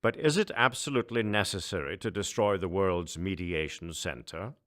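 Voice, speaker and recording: A man's voice: deep voice, normal speed, a bit bright. A man voicing a small, meek alien chancellor in an audiobook, anxious, deferential and pleading. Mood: nervous pleading. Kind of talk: audiobook character voice.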